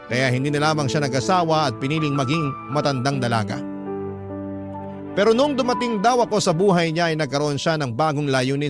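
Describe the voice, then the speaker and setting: A man narrating a scripted first-person life story for a radio drama. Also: a little high. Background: music.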